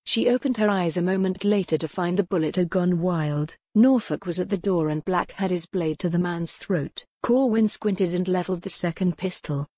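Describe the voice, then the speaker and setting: A woman reads dramatic, scripted narration for a historical romance audiobook. Her voice sits a little low, and the recording is close and dry. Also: normal speed, hardly any pauses, mellow, no echo.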